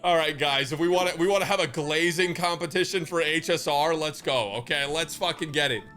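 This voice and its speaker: A man's voice: a little high, fairly quick, pushed and bright, soft background music. A man chatting casually as a live game streamer, slangy and joking, with swearing and hyperbole. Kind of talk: casual livestream chat.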